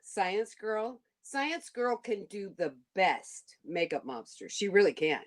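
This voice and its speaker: Excitable gushing woman from Alabama. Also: medium pitch, takes it slowly. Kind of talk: casual chat.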